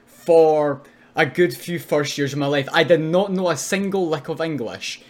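A man's voice: on the high side, moderate pace. A man whose first language is Polish, co-hosting a podcast and explaining earnestly in casual chat. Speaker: podcast co-host.

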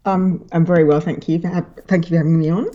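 A woman's voice: on the low side, steady tone, fast, warm tone, dull audio. A woman with a London accent and a slight Australian twang, chatting as a podcast guest late at night and laughing easily between her ums. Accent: London English with a slight Australian twang. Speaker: guest author. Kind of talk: casual interview chat. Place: home in Sydney, late at night.

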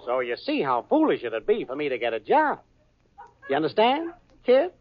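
An actor playing a cocky, smooth-talking young schemer in a 1940s radio sitcom, breezy and full of slang. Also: male voice, a little high, huge pitch swings, moderate pace, over a noisy crowd. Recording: lo-fi old radio broadcast with audience laughter and hiss.

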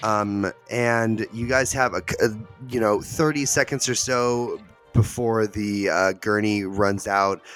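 A man's voice: fairly low pitch, steady tone, a little slow, fairly bright tone, smooth, soft background music. A man narrating as game master of a tabletop game, in a loose, chatty way full of fillers and restarts.

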